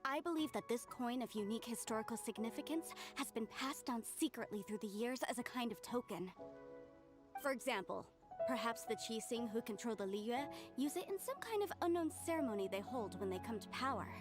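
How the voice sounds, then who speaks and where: A woman plays an earnest young scholar from a game who lays out her theory step by step. Her voice sits a little high, and clear game music plays behind her. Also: expressive, a little fast, natural voice. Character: earnest young female researcher, studious. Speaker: game character.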